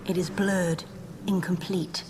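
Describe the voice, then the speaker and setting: An actress voicing a solemn, otherworldly spirit servant in a video game, speaking formally and portentously. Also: female voice, on the low side, flat delivery, slow pace. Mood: solemn warning. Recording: noisy ambient sound bed.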